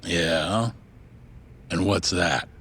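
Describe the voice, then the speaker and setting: A man's voice: deep voice, extremely slow, a bit bright, a little hiss or hum, full character voice. A man voicing a blunt, teasing friend in a video game cutscene.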